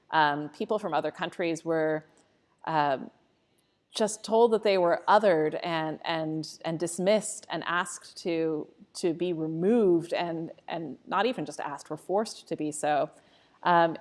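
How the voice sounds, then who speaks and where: A woman from Costa Rica answering questions in a live, unscripted church conversation, earnest and reflective. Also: on the low side. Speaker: church staff member.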